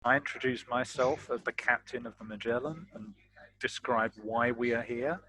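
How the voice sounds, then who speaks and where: A man's voice: mid-range voice, normal speed, bright, forceful tone, a little hiss or hum, rough recording. A man chatting casually as a player in a tabletop roleplaying game. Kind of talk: casual in-character roleplay chat.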